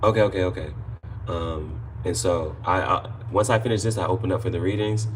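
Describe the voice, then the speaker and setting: A man with a fairly low voice talks informally to his livestream viewers as an amateur tarot reader. He rambles and repeats phrases to stress them. A steady, noticeable noise sits behind his voice. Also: fairly quick. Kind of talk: casual livestream chat to viewers.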